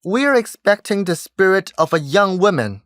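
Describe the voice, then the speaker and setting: An actor playing a solemn fairy storyteller in a stage play, measured and dramatic. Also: medium pitch, animated, a bit bright, no echo, clean studio sound, close to an everyday voice. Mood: commanding.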